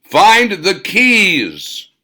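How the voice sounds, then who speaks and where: A man voicing an enraged, commanding god-like apparition with a roaring, drawn-out delivery in an audiobook. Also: sits high, huge pitch swings, pushed and bright, pitched above the performer's own voice, full character voice.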